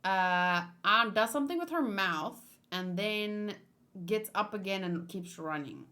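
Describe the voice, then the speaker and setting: A woman livestreaming in a casual, expressive and playful way, chatting and commenting as she reads aloud. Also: medium pitch, unhurried. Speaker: streamer hosting a manga read-along.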